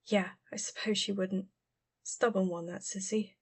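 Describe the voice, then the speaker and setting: Woman acting gentle, wistful older cousin. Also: mid-range voice, fairly even intonation, a little slow, natural voice. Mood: subdued, wistful. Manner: gentle, careful, murmured at times.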